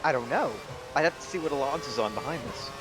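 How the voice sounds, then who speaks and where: A man's voice: fairly high pitch, lively intonation, quick pace, music in the background, low-quality recording. Laid-back young man commentating a racing game. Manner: joking, laid-back, swears casually. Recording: commentary over game engine noise. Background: racing game engine sounds.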